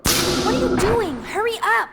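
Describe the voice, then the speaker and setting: A woman's voice: extremely high pitch, lively intonation, measured pace, very noisy, exaggerated voice. An actress playing an earnest young female scientist in an English-language video game cutscene. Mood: alarmed, urgent.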